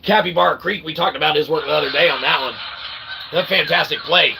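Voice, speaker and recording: Male channel host, gravelly and slightly high, sarcastic rambling pitch man. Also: fairly quick, noisy background. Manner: sarcastic, self-mocking, keeps asking "okay?". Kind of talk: rambling self-promotional monologue.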